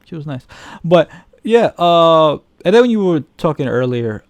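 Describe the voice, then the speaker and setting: Gravelly, casual man co-hosting a film chat. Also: fairly high pitch, very animated, moderate pace, no room sound. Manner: profane, opinionated, slangy. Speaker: podcast co-host reviewing films.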